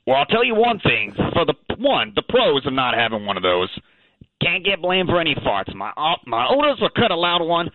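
A man's voice: a little high, sing-song, no room sound. A man voicing a cheeky, touchy pet dog with an Australian accent, blustery and slangy, in a comic radio phone interview. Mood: cheeky, jokey. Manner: blustery, slangy, quick to take offense then apologize. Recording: thin phone line.

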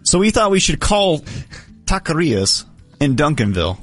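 A man's voice: fairly high pitch, sing-song, normal speed, fairly bright tone, faint music behind. A man hosting a radio talk segment, teasing a co-worker in a mock-formal way. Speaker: radio sports talk host. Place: radio studio.

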